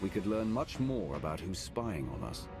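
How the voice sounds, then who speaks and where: An actor playing a composed Sith warrior in a video game, with a controlled, authoritative delivery. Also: male voice, low-pitched, very animated, normal speed. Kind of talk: cinematic video game acting. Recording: loud music underneath.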